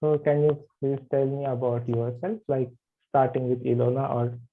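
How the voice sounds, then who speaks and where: A man introducing himself as a yoga anatomy teacher in an online class, halting with many fillers. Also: medium pitch, flat delivery. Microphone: video call. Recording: tinny video call audio.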